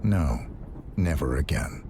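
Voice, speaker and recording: A man's voice: very deep voice, expressive, slow pace, noisy background, heavily acted. An actor playing a brooding, stoic male assassin in a video game, terse and restrained. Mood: resolute. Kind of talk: cinematic video game acting.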